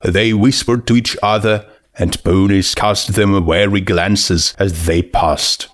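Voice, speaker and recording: A man narrating a scripted story in a measured, deliberate storytelling manner. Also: low-pitched, fairly even intonation. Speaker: fan fiction audiobook narrator.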